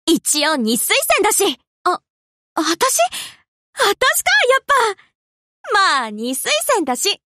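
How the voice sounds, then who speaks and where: A young anime warship girl with a high, very lively voice. She talks in casual, girlish slang at an unhurried pace. She comes across as easygoing yet dutiful, like a game heroine. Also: no echo, clean studio sound, heavily acted. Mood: determined. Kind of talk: Japanese anime game character voice lines.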